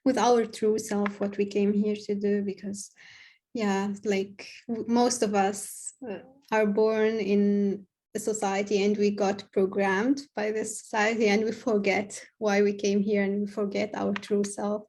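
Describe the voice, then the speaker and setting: A woman in her thirties from Tunisia talking as an interview guest on a video call, earnest, with halting phrasing. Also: medium pitch, little pitch movement, a little mellow. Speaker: health coach, podcast guest. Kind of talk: conversational interview. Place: home room, indoors.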